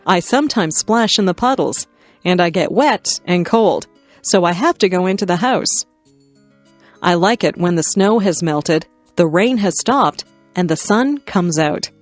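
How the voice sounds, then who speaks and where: A woman with a slightly low voice reads short, simple stories aloud as scripted lesson narration. Her phrasing is careful and orderly, one plain sentence after another. The sound is close and dry, with little room around it. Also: expressive, measured pace, dry recording, soft background music. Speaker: narrator of an English listening lesson.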